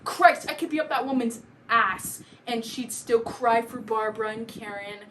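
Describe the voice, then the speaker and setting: A woman's voice: on the high side, moderate pace, a bit bright, natural voice. A young woman playing a bitter, wounded, neglected daughter in an emphatic, aggrieved stage soliloquy. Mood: resentful, weary. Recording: clearly noisy amateur recording.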